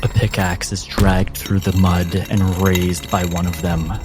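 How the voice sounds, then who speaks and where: Deep, slow-narrating male game master. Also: little pitch movement. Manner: deliberate, dramatic pauses. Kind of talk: atmospheric tabletop game narration.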